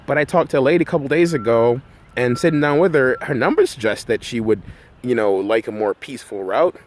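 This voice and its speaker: A man's voice: mid-range voice, expressive, brisk. A middle-aged man from Barbados, a numerology coach, talking casually to camera outdoors in a park and often asking "right?". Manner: conversational, often tags "right?". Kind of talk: casual vlog monologue. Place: outdoors in a park by road works.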